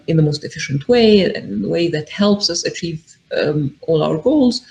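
Measured Egyptian-born woman guest. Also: sits low, warm tone, low-quality recording. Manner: measured, explanatory, many ums. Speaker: podcast guest. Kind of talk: casual interview talk.

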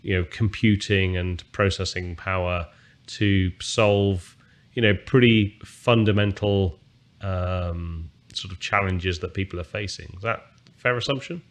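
A man's voice: low-pitched, steady tone, measured pace. A man hosting an interview, asking long, rambling questions full of fillers. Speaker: interview host.

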